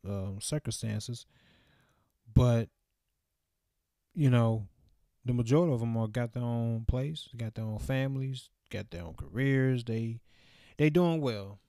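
Young man in his 20s, low mellow voice, casual rambling vlogger, dry close sound. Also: no echo. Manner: loose, reflective, lots of fillers.

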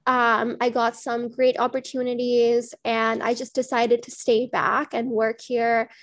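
Young woman from Pakistan, interview guest, slightly high voice, earnest, lots of pauses. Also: level tone. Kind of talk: casual interview answers.

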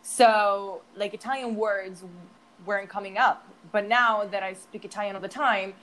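A young woman with an American accent talks in a mid-pitched voice. She hesitates often, restarting sentences and leaning on "like" and "um". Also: fairly even intonation, moderate pace, fairly bright tone, poor audio. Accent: American English. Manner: hesitant, many restarts and fillers. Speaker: actor and English teacher. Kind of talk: casual chat.

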